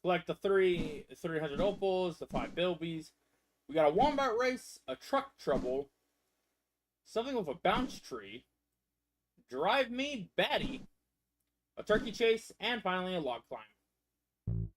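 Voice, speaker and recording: A man's voice: high voice, big pitch swings, a little slow, pauses often, a little hiss or hum. A man with a stuffy head cold giving rambling, casual commentary as he streams a game. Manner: rambling, loose and chatty, with frequent restarts. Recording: loud game music behind voice.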